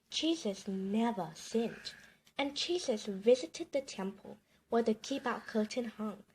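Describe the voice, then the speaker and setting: A woman reading a children's bedtime story aloud in an expressive, emphatic storytelling manner. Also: a little high, slight background noise, rough recording.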